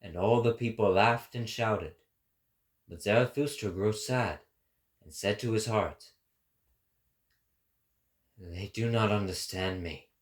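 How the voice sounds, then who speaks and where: A man narrates philosophical literature as a dramatic reading. His delivery is very slow and measured, with a hushed, grave tone held low beneath full voice.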